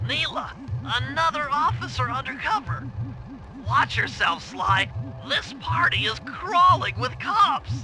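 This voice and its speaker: A nerdy turtle mastermind, very high-pitched and nasal, briefs a heist with fussy precision. The cautious, earnest cartoon game delivery sits over clear background music. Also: male voice, bright, forceful tone, full character voice, rough recording.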